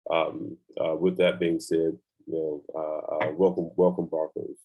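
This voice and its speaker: An older man introducing himself on a video call, halting with many fillers. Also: extremely low pitch, flat delivery, breathy edge, phone-quality sound. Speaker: alumnus speaker. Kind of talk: virtual panel introduction.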